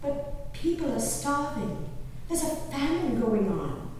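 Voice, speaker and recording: A woman performing a genteel, impassioned colonial-era lady diarist on stage, declaiming her journal with deliberate dramatic pauses. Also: sits high, big pitch swings, a little mellow, exaggerated voice. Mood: grave, tender. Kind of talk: theatrical dramatic reading of historical diaries. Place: live stage performance in a reverberant hall. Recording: very echoey hall from far off, noisy.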